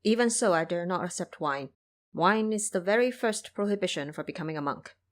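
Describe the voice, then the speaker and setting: A composed holy monk, voiced by a woman, speaks formally in an audio drama. He is measured and courteous, with the gentle authority of a master addressing disciples. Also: fairly low pitch, lively intonation, close to an everyday voice. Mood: polite refusal.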